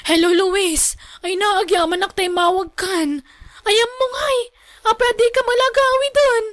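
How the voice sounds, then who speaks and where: A woman's voice: very high-pitched, unhurried, fairly bright tone. A woman acting a worried, long-suffering wife in a radio drama, with an Ilocano accent. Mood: pleading. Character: worried, long-suffering wife pleading with her straying husband.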